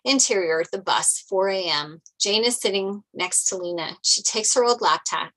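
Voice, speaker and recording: A woman reading script narration and stage directions aloud at a table read in an animated storytelling way. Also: mid-range voice, normal speed, rough recording.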